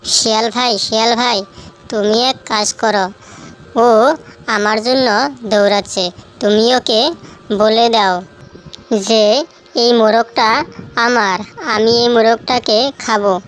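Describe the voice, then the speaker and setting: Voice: mid-range voice, steady tone, takes it slowly, pauses often, a bit bright, voice pushed up. A performer voicing a clever, wary male village rooster in a cartoon story, with a questioning, urging manner. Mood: urgent, cunning.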